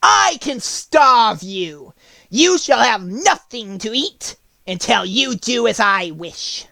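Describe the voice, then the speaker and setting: A man's voice: very high voice, sing-song, slow pace, creaky, sharp tone, no room sound, voice pushed up, full character voice. A man voicing a cruel wicked witch from a storybook, harsh, severe and menacing, drawing out the threats. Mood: menacing, commanding.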